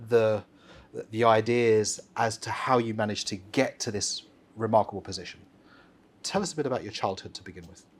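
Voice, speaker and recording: Male podcast host, mid-pitched, formal and effusive in tone. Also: a little mellow. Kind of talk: podcast interview hosting.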